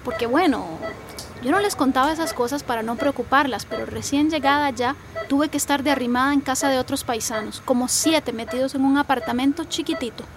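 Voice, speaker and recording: A woman's voice: high-pitched, fairly quick. An actress playing a candid, resilient migrant woman confiding in her sister in a radio soap, with sighing delivery. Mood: caring, confiding. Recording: clear background noise.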